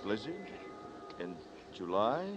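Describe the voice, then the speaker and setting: A tense, brooding amnesiac narrator. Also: male voice, lowish voice, sing-song, slow. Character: dazed amnesiac man narrating his ordeal. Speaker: narrator and lead. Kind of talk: 1940s radio drama narration. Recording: muffled old radio broadcast with music.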